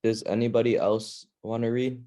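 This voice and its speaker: A man's voice: mid-range voice, little pitch movement, moderate pace, warm tone. A man speaking as the leader of a reading club, checking in with readers and prompting them to take turns. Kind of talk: prompting readers in a group session.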